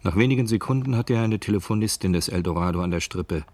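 A man's voice: lowish voice, fast, darkish tone, no room sound, lightly acted. A man narrating a crime story in a scripted radio drama. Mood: measured storytelling.

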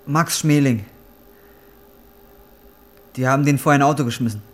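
A man's voice: medium pitch, normal speed, lightly acted. An actor playing a desperate, defensive young man in a crime drama, speaking bluntly and colloquially. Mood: urgent, insistent. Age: young adult. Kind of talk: acted dialogue in a crime drama. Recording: noisy ambient background.

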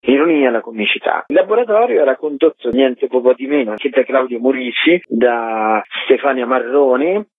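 A man's voice: medium pitch, expressive, normal speed, few pauses. A man giving an explanatory radio interview as a guest festival director, on a remote call. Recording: muffled echoey phone line, rough sound.

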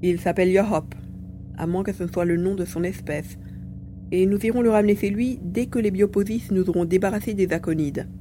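A woman's voice: medium pitch, fairly quick, lightly acted. A woman voicing a cheerful, mischievous male mutant mouse-beaver with a playful manner in an audio drama. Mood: matter-of-fact. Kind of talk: acted audiobook dialogue. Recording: faint background noise and hum.

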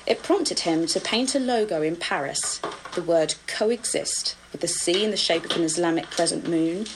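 A woman's voice: on the low side, moderate pace, noisy background. A woman working as a radio reporter, describing things and interviewing on location. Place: artist's studio in Paris suburbs.

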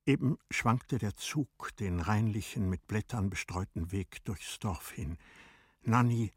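A man giving a measured, solemn literary narration for a radio drama in a very husky voice. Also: fairly low pitch, no echo, studio quality. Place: dry studio.

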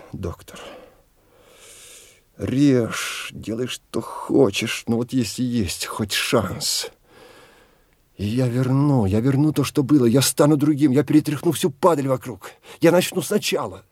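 An actor in an audio drama playing a desperate, bitter husband from the provinces, husky and halting, repeating himself with passion. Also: male voice, medium pitch, animated, a little mellow, dry recording. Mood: desperate resolve.

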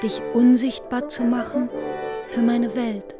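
A solemn woman declaiming verse in a radio play. Her high voice moves very slowly and theatrically, with loud violin music behind it. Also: flat delivery. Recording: voice under loud string music.

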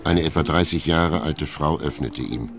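A calm storyteller for a literary radio play. The voice is exceptionally deep and detached, with faint music behind the narration. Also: male voice, normal speed.

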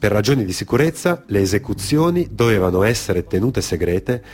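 A man narrating a dramatic monologue for radio theatre, grave and emphatic, in short deliberate phrases. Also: on the low side, big pitch swings, darkish tone. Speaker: storyteller, actor.

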